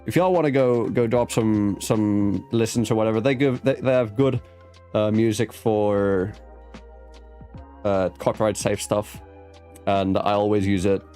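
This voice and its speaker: South african guy hosting a chill interview, kinda low voice, rambles and restarts a lot, faint music in the back. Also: fairly even intonation, measured pace. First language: Afrikaans. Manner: chatty, rambling with many restarts. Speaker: host. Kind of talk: casual interview chat. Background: soft background music.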